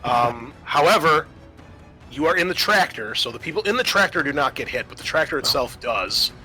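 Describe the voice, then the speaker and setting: A man's voice: a little high, expressive, moderate pace, pushed and bright, soft background music, rough recording. A man acting as game master, narrating a tabletop roleplaying game in a conversational way.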